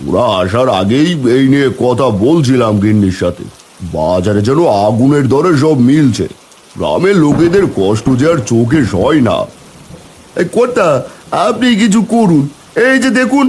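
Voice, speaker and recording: A man voicing village menfolk in a cartoon, speaking in an animated, homely way. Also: a little high, normal speed. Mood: concerned, then urging. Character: village men: concerned headman and eager helpers. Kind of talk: cartoon character dialogue.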